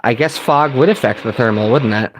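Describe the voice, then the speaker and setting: Casual male streamer, gravelly voice, laid-back rambling delivery. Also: mid-range voice, brisk, background noise. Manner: relaxed, rambling, thinking aloud with fillers. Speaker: streamer tuning shortwave radio. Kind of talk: casual live chat reading viewer comments.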